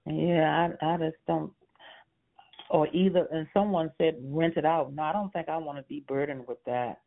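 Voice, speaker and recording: A man's voice: a little high, steady tone, normal speed, gravelly. Hesitant man in his sixties on a phone line. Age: 60s. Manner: hesitant, rambling with many fillers and short laughs. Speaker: homeowner on a phone call.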